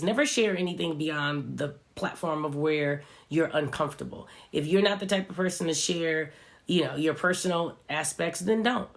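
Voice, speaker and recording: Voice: mid-range voice, vocal fry. A vlogger with a stuffy, congested voice, giving an earnest, reflective motivational talk straight to viewers. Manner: earnest, speaks straight to viewers, fillers like "right" and "you know". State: stuffy from a cold. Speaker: social media vlogger.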